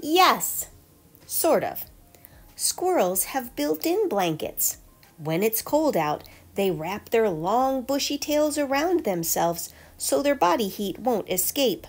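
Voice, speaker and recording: Woman reading a children's book, lively pitch. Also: takes it slowly, soft background music. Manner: clear storytelling delivery with emphatic questions and answers. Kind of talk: children's picture book read-aloud.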